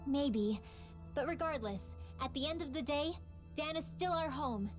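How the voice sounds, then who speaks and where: A woman's voice: high voice, animated, a little slow, soft background music. An actress voicing an earnest, thoughtful young woman mage in a video game cutscene, speaking sincerely. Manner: sincere, reflective.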